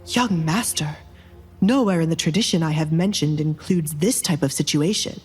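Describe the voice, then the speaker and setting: A woman's voice: low-pitched, normal speed, a little mellow, breathy edge, faint music behind. A woman voicing a fussy, loyal old male butler who is deferential yet scolding, in a dramatized audio romance.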